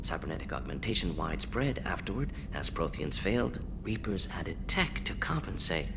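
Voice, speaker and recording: Clipped, restless alien scientist. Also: male voice, sits low, very animated, noisy background. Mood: grave, emphatic. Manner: clipped telegraphic phrasing, rapid-fire listing, earnest. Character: brisk, brilliant, restless male alien scientist. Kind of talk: video game dialogue.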